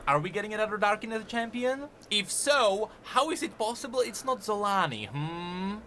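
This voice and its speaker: A man giving casual, conversational commentary as a game lore video creator, explaining things in an animated way. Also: high-pitched, moderate pace, slight background noise.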